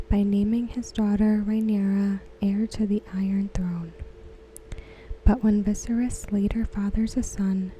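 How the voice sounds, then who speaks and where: A woman host reading aloud in a soft, breathy near-whisper for ASMR, gentle, unhurried and soothing, close to the microphone. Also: medium pitch, slight background noise, low-quality recording.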